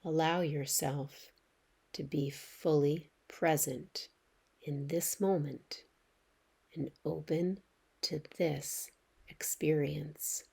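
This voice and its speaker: A woman with a low voice leads a guided meditation. Her delivery is very slow and measured, with pauses between short phrases. She sounds gentle and soothing as she gives relaxation instructions. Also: fairly even intonation, creaky, husky. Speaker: yoga nidra meditation guide.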